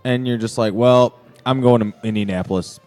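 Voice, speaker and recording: A man's voice: mid-range voice, steady tone, fairly quick, a little mellow. Male interviewer, mid-range voice, informal and profane, casual podcast banter with faint music behind. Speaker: podcast host interviewing a band. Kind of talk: casual, joking interview chat.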